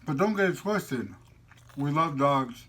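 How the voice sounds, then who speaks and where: A man's voice: a little high, animated, unhurried, a bit bright. A man hosting a casual mukbang vlog, chatting in a jokey, slangy, playful way while eating.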